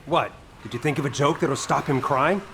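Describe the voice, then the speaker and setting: A man voicing a teasing, animated squad mate who gives mission briefings in a video game. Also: a little high, moderate pace, slight background noise. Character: wisecracking, brisk male squad mate giving mission briefings.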